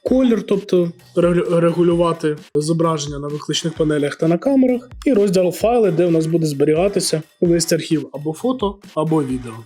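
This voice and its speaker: Man, slightly high voice, product reviewer, casual explanatory delivery, background music. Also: brisk, dark tone, slightly rough. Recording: music under the voice.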